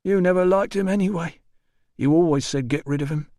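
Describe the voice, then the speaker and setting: A soldier-turned-porter, drunk and heartbroken, wails in a strained high voice that cracks into sobs, as a man puts him on. He slurs his words and bellows in grief. The take is dry and close. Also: brisk, dark tone, no echo, lightly acted. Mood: maudlin. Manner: slurred, wailing, grief-stricken. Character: drunk weepy male army hospital porter.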